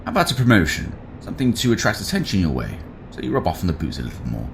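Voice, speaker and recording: An actor playing a stern but fair military starship captain in a sci-fi audio drama, with a clipped, authoritative delivery. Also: male voice, low-pitched, wildly swooping pitch, rapid-fire, gravelly, background noise, full character voice. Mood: measured approval.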